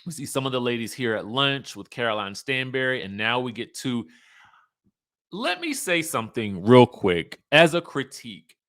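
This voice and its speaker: Bright-voiced man doing casual commentary. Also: mid-range voice, sing-song, moderate pace. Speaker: host of a reality TV commentary show. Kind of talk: casual commentary on a trailer, partly reading cast bios.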